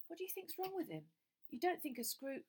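A woman's voice: high-pitched, very animated, brisk, a little mellow, pitched above the performer's own voice. A woman voicing a worried, hot-tempered teenage schoolboy who presses with urgent questions.

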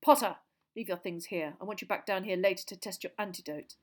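A woman voicing a cold, contemptuous male schoolmaster who speaks slowly and clipped. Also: natural voice. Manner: cold, low, slow and clipped.

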